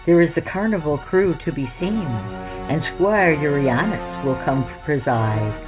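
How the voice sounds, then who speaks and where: A podcast host giving scripted horror narration in a theatrical, measured storytelling manner. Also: mid-range voice. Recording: muffled lo-fi sound under music. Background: background music.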